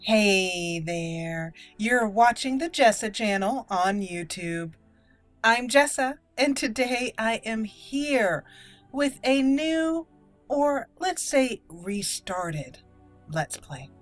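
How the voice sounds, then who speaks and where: A woman's voice: on the high side, animated, takes it slowly, soft background music. Chatty woman hosting a gaming channel. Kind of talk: casual let's play commentary.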